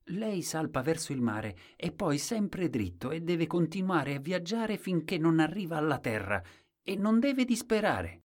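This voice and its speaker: A man's voice: on the high side, big pitch swings, a little slow, pitched above the performer's own voice. A man voicing a meek, candid and eager court fool in a storybook tale, simple and earnest in manner.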